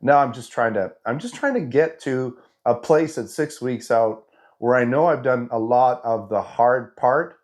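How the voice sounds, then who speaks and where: A man's voice: mid-range voice, measured pace. A man in his fifties with a head cold, his voice stuffed up and nasal, chatting casually in a fitness update vlog with lots of restarts. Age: 50s. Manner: chatty and rambling, with frequent restarts and "you know". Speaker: fitness vlogger.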